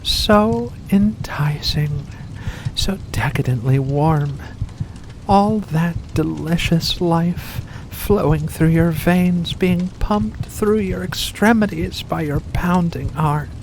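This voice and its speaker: A seductive vampire creature, played by an ASMR performer speaks at a very slow, deliberate pace in a mid-range voice. The delivery is intimate and predatory, purring with menace as if coaxing prey. A clear wash of rain-like noise sits behind the voice. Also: mid-range voice. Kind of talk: ASMR roleplay monologue.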